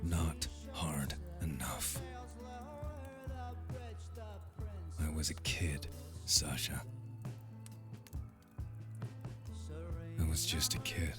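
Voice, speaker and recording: A man's voice: rumbling low, fairly even intonation, takes it slowly, music in the background. A man playing a proud, wounded young vampire hardened into bitterness, with intense, accusing delivery in an audio drama. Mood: bitter, hurt. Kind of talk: dramatic audio roleplay acting.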